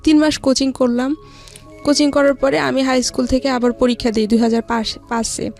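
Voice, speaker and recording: A woman recounting her own life story as an interview guest, with halting, hesitant delivery. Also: high voice, little pitch movement, a little mellow, soft background music. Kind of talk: personal life-story narration.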